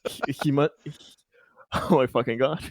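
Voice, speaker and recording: A man playing a tabletop roleplaying game, chatting in a casual, jokey, excitable way and repeating himself. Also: medium pitch, moderate pace, vocal fry, dry recording.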